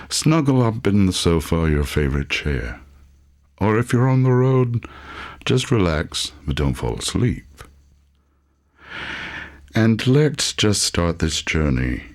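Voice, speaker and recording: A man narrating an audiobook as a theatrical storyteller, dramatically savoring his words with pauses. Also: rumbling low.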